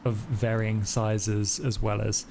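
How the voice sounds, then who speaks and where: A man improvising narration as game master of a tabletop roleplaying game, in a halting, descriptive way. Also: fairly low pitch, little pitch movement, vocal fry, a little mellow, slight background noise.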